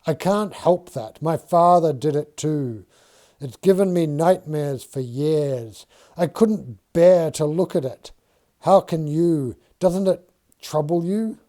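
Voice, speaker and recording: A man's voice: fairly high pitch, takes it slowly, dark tone, voice pushed up. A man voicing a smirking, skeptical surveyor who talks in a dry, needling, old-fashioned formal way. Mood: defensive.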